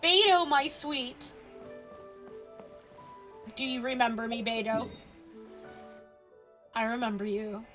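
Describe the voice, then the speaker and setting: Slow-paced, bright-voiced woman game streamer. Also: a little high, steady tone. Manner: playful, rambling. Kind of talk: casual live gameplay commentary. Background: faint game music.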